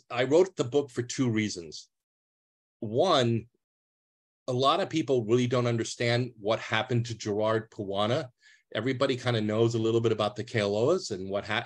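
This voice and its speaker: A man, giving an earnest, plain-spoken talk over a video call as a retired public defender. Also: mid-range voice. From US East Coast.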